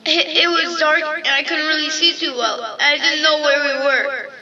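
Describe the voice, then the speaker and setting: A woman playing a frightened, guilt-ridden young boy in an audio drama, halting and tearfully pleading. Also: sits high, steady tone, pushed and bright, distant mic, dull audio, faint music behind. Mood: scared, defensive.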